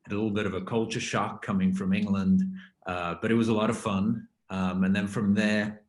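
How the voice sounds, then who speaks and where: A man's voice: lowish voice, little pitch movement, brisk. A middle-aged man from Belgium, guest in a casual interview, talking unhurriedly with frequent restarts. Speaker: guest, finance founder. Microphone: video call. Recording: echoey room.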